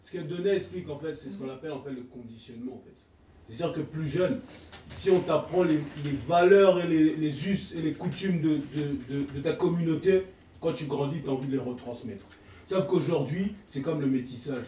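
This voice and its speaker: A man's voice: on the high side, brisk, dark tone. Man arguing in a roomy, distant recording. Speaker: debate panelist. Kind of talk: heated casual group debate. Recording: roomy, distant mic with background noise.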